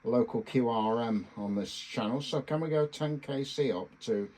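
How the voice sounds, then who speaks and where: A man giving a casual hands-on demonstration as a radio hobbyist host, speaking in a halting, hesitant way with pauses. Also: mid-range voice, dark tone.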